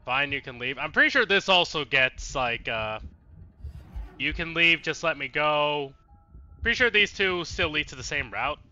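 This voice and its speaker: Guy doing a lets play, super bright voice, pitch all over, sweary casual reactions, bit of music. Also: animated, moderate pace, highs cut off. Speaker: game streamer. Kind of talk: casual let's play commentary. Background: faint music.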